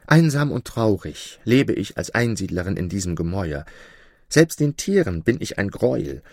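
A man's voice: mid-range voice, darkish tone, dry recording, studio quality, voice pushed up. A man voicing an enchanted princess trapped as an owl, speaking plaintively and earnestly. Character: enchanted princess turned owl, young, sorrowful.

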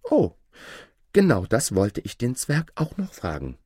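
A man's voice: medium pitch, huge pitch swings, a little slow, mellow, slightly rough, no echo, clean studio sound, voice pushed up, exaggerated voice. A man voicing an impulsive, questioning boy hero in a fairy tale, with an eager manner. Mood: tired.

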